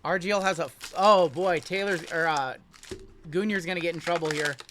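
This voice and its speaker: A man's voice: fairly high pitch, normal speed, fairly bright tone, slight background noise. A man hosting a live card break, chatting casually and reading the chat aloud with a joking manner. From Iowa. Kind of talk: casual live-stream chat while opening cards.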